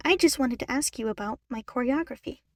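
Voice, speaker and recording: A woman voicing an anxious, guilt-ridden young female chipmunk who speaks in a halting, apologetic way. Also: high-pitched, no echo. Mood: curt, insistent.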